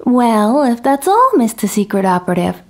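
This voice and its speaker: A woman's voice: fairly high pitch, big pitch swings, normal speed. A woman acting a weary, coolly polite 1950s housewife in a radio drama.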